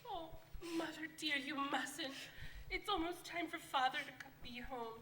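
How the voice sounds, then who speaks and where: High-voiced anxious young daughter. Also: female voice, measured pace, bright, forceful tone. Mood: gentle worry. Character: gentle, anxious young daughter comforting her mother. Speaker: actor playing Martha. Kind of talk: staged radio play acting. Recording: live stage recording, faint noise.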